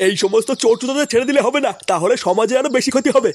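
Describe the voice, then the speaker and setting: A man's voice: very high voice, rapid-fire. A man playing an indignant, self-righteous, foul-mouthed village man in a crude comic cartoon. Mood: indignant, accusing. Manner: scolding, swearing.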